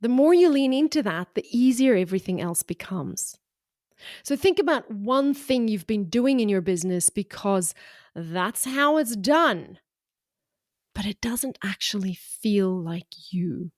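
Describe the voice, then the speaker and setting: A woman's voice: medium pitch, lively intonation, measured pace, no room sound. South african-aussie accent woman coaching, blunt n upbeat, short punchy lines, dead dry sound. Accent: mixed South African and Australian. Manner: frank, encouraging, punchy short phrases. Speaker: podcast host and business coach. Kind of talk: motivational solo podcast.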